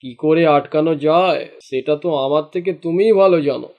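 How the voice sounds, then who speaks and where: A man's voice: a little high, fairly quick, vocal fry. Man playing a dull-sounding, jealous grumbling cartoon fisherman. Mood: spiteful, scheming. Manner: grumbling, indignant. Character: jealous, greedy fisherman husband, grumbling and spiteful. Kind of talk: cartoon dialogue acting.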